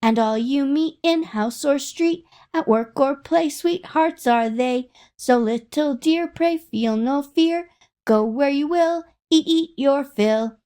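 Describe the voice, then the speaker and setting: Woman as a high, lilting sugar bird. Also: a little mellow. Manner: lilting sing-song verse. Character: sweet little candy bird singing an inviting rhyme.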